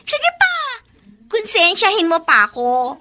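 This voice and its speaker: High-pitched spoiled bratty daughter. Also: female voice, huge pitch swings, takes it slowly, bright, forceful tone, a little hiss or hum, full character voice. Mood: smug, mocking. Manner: bratty, mocking, self-justifying. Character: spoiled scheming young daughter, cheeky and greedy. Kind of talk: melodramatic Filipino radio drama acting.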